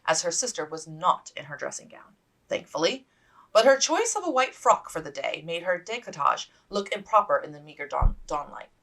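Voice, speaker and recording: A woman narrates a period novel as its author, reading from the page. Her voice is on the low side with plenty of gravelly fry. She sounds a little distant from the microphone. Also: sing-song, normal speed, a bit bright. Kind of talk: scripted audiobook narration.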